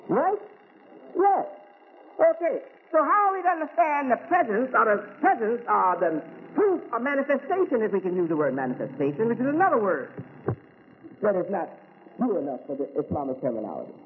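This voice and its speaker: An American man giving a religious lecture as an Islamic teacher, asking rhetorical questions and repeating key words. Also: very high voice. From United States. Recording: tinny phone-like recording with faint hiss.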